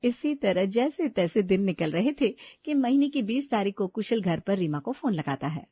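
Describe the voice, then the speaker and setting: A woman's voice: mid-range voice, lively intonation, normal speed, warm tone, slightly rough, no room sound. A woman reading scripted story narration as a storyteller.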